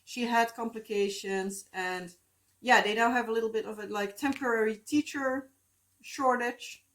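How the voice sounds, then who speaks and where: A woman's voice: on the high side, normal speed. Chatty Dutch-accented woman rambling. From Netherlands. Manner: chatty, meandering, many fillers and asides. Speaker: crafting vlogger. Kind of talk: casual rambling chat. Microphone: phone.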